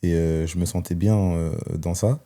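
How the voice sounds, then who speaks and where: Deep-voiced man, reflective. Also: steady tone, normal speed, dark tone. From Belgium. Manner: reflective, hesitant with many fillers. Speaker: guest. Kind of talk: personal testimony in conversation.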